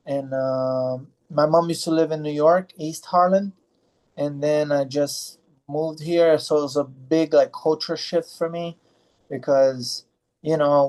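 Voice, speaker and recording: A man's voice: on the high side, a little mellow, low-quality recording. A man in his thirties from Brazil, a fighter answering interview questions in a loose, rambling way with many fillers and restarts. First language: Portuguese.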